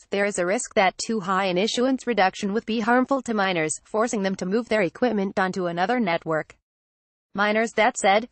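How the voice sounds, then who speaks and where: A woman's voice: medium pitch, no room sound. A woman reading a scripted news article aloud in a formal manner, as a narrator.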